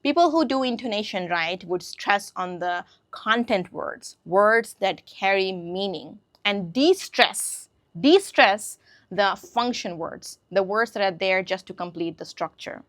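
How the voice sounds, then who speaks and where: A woman whose first language is Hindi talks through an English lesson in an easy, conversational way, often stopping to check that her listeners follow. Her voice sits at a middle pitch and is recorded close and dry, with no room sound. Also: animated, a little slow, a bit bright, dry recording. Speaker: English teacher. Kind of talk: casual spoken lesson to camera.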